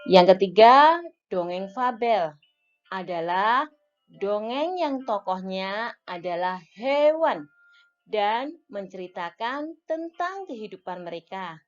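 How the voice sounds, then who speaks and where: A woman teacher explaining a lesson to young schoolchildren, counting off points one by one in a clear, deliberate way. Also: medium pitch, lively intonation. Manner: slow, clear teacherly explaining with list-like enumeration. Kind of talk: recorded classroom lesson for young children. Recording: dull lo-fi audio with faint music.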